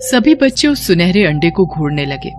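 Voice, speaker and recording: A woman's voice: on the low side, big pitch swings, moderate pace, music in the background. A woman narrating a scripted horror story for children as a storyteller.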